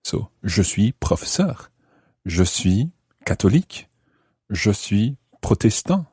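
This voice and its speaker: A man presents a language lesson in a low, slightly husky voice. His intonation moves a lot as he explains things carefully, and the recording is dry and close. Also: very animated, slow pace, dark tone, no echo. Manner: explanatory, carefully enunciated teaching. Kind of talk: scripted lesson narration.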